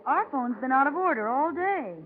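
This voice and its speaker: An actress in a vintage radio drama playing a polite, helpful, plain-spoken waitress. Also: female voice, sits high, lively intonation, normal speed. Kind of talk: 1940s radio acting. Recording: tinny vintage radio recording, crowd noise.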